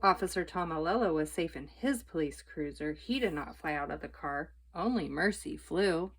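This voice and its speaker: A woman's voice: sits low, animated, normal speed, slightly rough. A woman narrating a children's book as a storytime reader.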